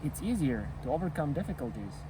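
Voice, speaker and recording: A man reading a folk tale for children as a storyteller, in a sing-song, deliberate and expressive way. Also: a little high, noisy background. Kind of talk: scripted children's storytelling narration.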